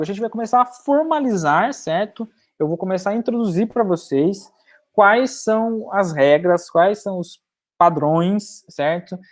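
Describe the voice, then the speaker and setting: A woman teaches a recorded programming class at an ordinary middle pitch. She explains step by step and keeps checking that her students are following. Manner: explanatory, checks in often with "certo?". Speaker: university lecturer.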